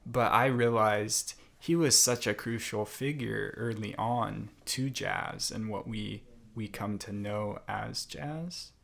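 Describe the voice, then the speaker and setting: A young man as a podcast guest, chatting in a thoughtful, unhurried way with many fillers and restarts. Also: lowish voice, vocal fry, fairly bright tone. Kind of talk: casual interview conversation.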